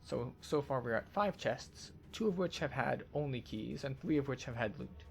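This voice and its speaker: Man with a slightly gravelly voice, game walkthrough. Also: medium pitch, expressive, moderate pace, a little hiss or hum. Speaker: game tour guide narrator. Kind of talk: video game walkthrough commentary.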